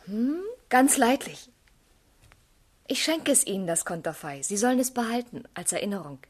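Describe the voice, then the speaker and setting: An actress playing a gentle young lady in a German radio drama, fond and softly admonishing, with a husky, genteel voice. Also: medium pitch, very animated, normal speed. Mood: teasing, kind. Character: gentle young woman, fond, chiding, composed.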